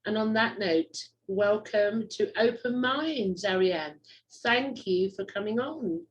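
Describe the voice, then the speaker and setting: A woman's voice: mid-range voice, takes it slowly, lo-fi sound, slight background noise. A middle-aged woman from the UK hosting a casual interview, effusive and rambling, with many fillers. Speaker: host.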